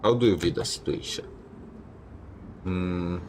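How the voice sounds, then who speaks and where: A man's voice: deep voice, a little slow, slight background noise. A man giving casual, irreverent gameplay commentary, heckling characters and reading dialogue aloud. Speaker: game streamer. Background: loud game music.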